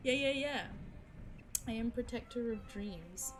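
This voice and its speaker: A young woman streamer chatting casually with viewers, giggling and teasing herself, her voice a little low for a woman and her throat sore. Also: a little slow. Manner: chatty, giggly, self-mocking. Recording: music playing behind the voice.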